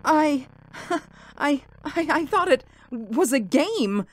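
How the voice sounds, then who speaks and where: Woman acting a terrified, high-voiced wife. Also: sing-song, full character voice. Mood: nervous. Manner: breathless, pleading, halting. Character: terrified, frantic wife hiding from alien invaders. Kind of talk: suspense radio drama acting.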